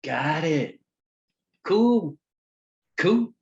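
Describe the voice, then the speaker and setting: Casting: eager man-child director, late forties, played by a man, slow delivery, very swoopy pitch. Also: big pitch swings, a little mellow, lo-fi sound, full character voice. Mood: overeager, agreeable.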